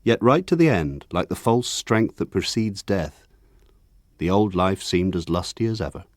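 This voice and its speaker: An actor playing an older man recalling his village childhood in measured, reflective, lyrical radio drama narration. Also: low-pitched, lively intonation, no room sound. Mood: wistful, elegiac.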